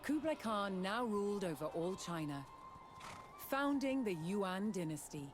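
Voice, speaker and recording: A woman delivers scripted documentary narration in a measured, dramatic storytelling manner. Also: mid-range voice, sing-song, background noise. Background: background music.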